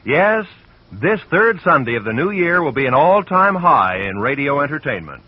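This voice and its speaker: A man's voice: on the high side, sing-song, moderate pace, dry recording. A man delivering a polished, upbeat scripted radio announcement with emphatic delivery. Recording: dull old radio recording.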